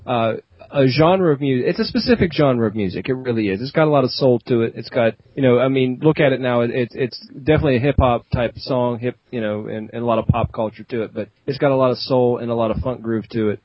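A man's voice: mid-range voice, fast talker, hardly any pauses, no room sound. A man co-hosting a podcast, talking in a rambling, casual way with many restarts. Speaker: podcast co-host. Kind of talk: casual banter. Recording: dull, dry lo-fi recording.

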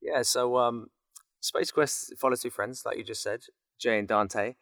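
A man's voice: mid-range voice, fairly even intonation, a little slow, a bit bright, no echo, clean studio sound. A man chatting casually as a guest voice actor on a podcast. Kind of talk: casual chat.

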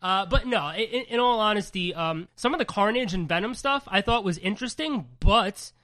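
A woman's voice: medium pitch, lively intonation, moderate pace, a bit bright. Woman, podcast co-host, rambling, profane, congested. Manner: rambling, swears freely, laughs often. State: congested from a cold. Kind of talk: casual podcast chat.

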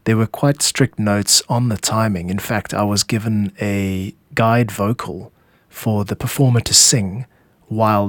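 A man's voice: on the low side, fairly bright tone, no room sound. A man narrating a scripted podcast as its host, explaining how music is made.

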